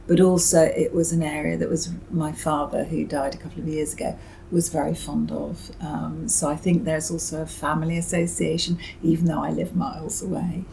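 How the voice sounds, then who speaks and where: Low, creaky-voiced British woman. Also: little pitch movement, normal speed, dark tone, slight background noise, rough recording. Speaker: interviewed novelist. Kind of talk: reflective interview answers.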